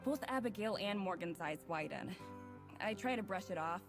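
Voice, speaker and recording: A woman's voice: medium pitch, lively intonation, normal speed, close to an everyday voice. An actress playing a reflective, wry young woman who narrates her own story in a visual novel. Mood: wry, amused. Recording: music playing clearly underneath.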